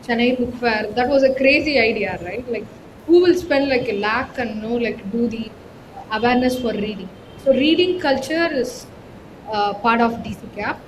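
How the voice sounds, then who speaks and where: A woman with a Tamil Indian accent, telling her experiences conversationally in an interview, with emphatic phrasing and frequent verbal tags. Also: fairly quick. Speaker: HR professional, interview guest. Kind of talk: conversational interview, code-switching storytelling. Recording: clearly noisy with low rumble.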